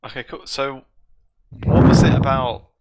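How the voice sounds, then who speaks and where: Man, podcast host, medium pitch, casual interviewer, background noise, clicks. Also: little pitch movement, a little slow, a little mellow.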